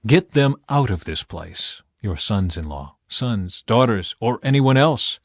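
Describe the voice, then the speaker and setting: A man's voice: fairly low pitch, expressive, unhurried, no echo, clean studio sound. A man voicing a stern, insistent angel who gives urgent, commanding warnings in a scripture audiobook.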